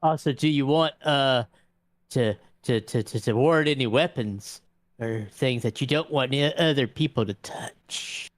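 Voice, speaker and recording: A man's voice: medium pitch, unhurried, no room sound, close to an everyday voice. A man voicing a courtly, pious vampire in a tabletop game, prudish around ladies, with hesitant, stammering, old-fashioned politeness. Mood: obliging. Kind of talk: improvised tabletop roleplay.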